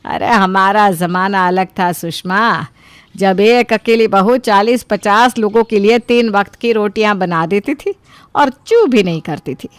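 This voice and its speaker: A woman playing a middle-aged, self-pitying, sarcastic mother-in-law in an animated story, scolding and complaining dramatically. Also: mid-range voice, unhurried, no room sound, close to an everyday voice. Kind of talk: animated story character dialogue.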